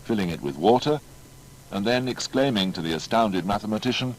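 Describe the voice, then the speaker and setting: Male narrator for audio biography, very low and animated, formal emphatic storytelling, faint noise behind. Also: a little fast, low-quality recording. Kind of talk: scripted documentary biography narration.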